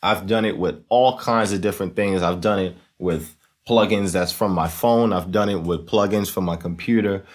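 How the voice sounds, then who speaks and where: Low-voiced man giving a casual tutorial. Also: moderate pace. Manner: conversational, often checking in with "right?". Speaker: host of a talk box tutorial series. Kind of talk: casual explanatory tutorial talk.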